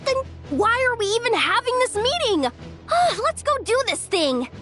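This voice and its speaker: A woman voicing an eager, impatient, high-spirited girl adventurer in a video game, bouncy and emphatic. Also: sing-song, normal speed, music in the background.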